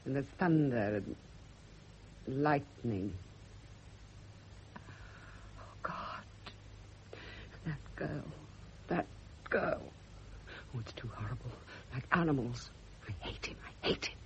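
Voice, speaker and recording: Slow, brooding, vengeful woman. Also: very deep voice, expressive, heavily acted. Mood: brooding, hateful. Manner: slow, brooding, fixated, repeating phrases. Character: bitter, jealous, vengeful woman, obsessed and brittle. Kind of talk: classic radio drama acting. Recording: dull, slightly noisy old radio recording.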